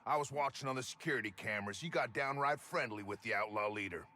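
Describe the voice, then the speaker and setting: Guy doing a gruff-tempered company man from a space western game, sharp forceful tone, loyal and wary. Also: medium pitch, fast, close to an everyday voice. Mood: angry, threatening. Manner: folksy, forceful. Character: angry, wary frontier company foreman, man, stubborn and loyal. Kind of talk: dramatic video game dialogue.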